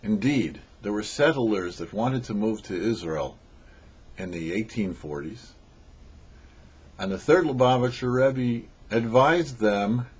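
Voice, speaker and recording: Rabbi giving a weekly Torah talk: a man with an animated, husky voice, slow emphatic phrasing. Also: fairly low pitch, dull audio. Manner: measured, lively teaching delivery with slow emphatic phrases. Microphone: studio microphone.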